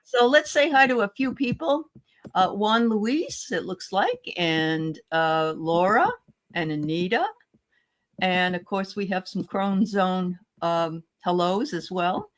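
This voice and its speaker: A woman's voice: medium pitch, slow. A woman with a Boston accent hosting a live stream chat, greeting viewers by name. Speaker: host.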